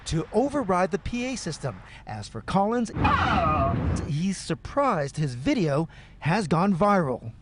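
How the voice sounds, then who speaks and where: A man's voice: high-pitched, sing-song, a little slow, heavy background noise. A man narrating a scripted television news package as a reporter.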